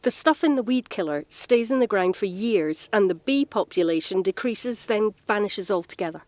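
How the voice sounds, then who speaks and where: An actress plays a caring, straight-talking woman in an audio drama. Her pitch is medium and her delivery is natural, earnest and explanatory. The recording is very dry, with no room sound. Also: lively intonation, moderate pace, darkish tone, dry recording, close to an everyday voice. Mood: grave, emphatic. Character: frank, caring working woman, earnest and well-informed.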